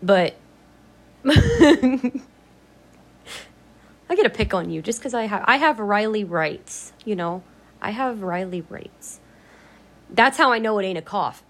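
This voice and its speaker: A woman vlogging in a casual, rambling way, her voice a little raspy from allergies, blunt and free with swear words. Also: mid-range voice, lively intonation, takes it slowly, pauses often. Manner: chatty, blunt, swears freely. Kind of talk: casual rambling vlog chat.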